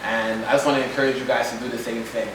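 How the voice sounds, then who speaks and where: A man's voice: medium pitch, level tone, quick pace. A young man moderating a live community event, talking casually and encouragingly to the crowd with some slang. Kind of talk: casual talk to a live audience. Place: event room with an audience. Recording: echoey room, distant mic, noisy.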